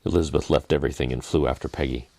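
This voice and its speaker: A man reading a dramatic story in a measured manner, with deliberate pauses and softened phrases. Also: a bass voice, steady tone, no echo. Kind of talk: dramatic scripted narration.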